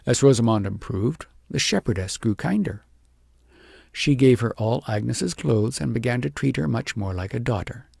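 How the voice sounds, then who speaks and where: A man with a husky, gravelly voice reading an audiobook story in a measured, expressive storytelling manner. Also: mid-range voice, no echo, studio quality. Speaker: audiobook reader. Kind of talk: scripted storybook narration.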